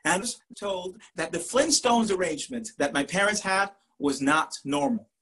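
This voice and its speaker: A man with a Puerto Rican accent whose first language is Spanish, speaking to an audience with earnest pauses. His voice sits a bit high for a man and has a husky edge. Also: lively intonation, fairly bright tone. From Puerto Rico. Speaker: professor and poet. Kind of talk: storytelling talk to an audience.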